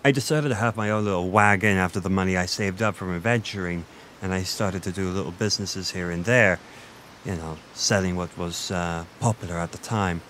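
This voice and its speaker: A man plays a flirty Irish innkeeper in a fantasy roleplay audio, with a lowish voice. He is teasing and gentle, chatting intimately and poking fun at himself. Clear background ambience runs behind his voice. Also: brisk, a little hiss or hum. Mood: friendly, reminiscing. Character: flirty Irish male innkeeper, gentle, self-deprecating former adventurer.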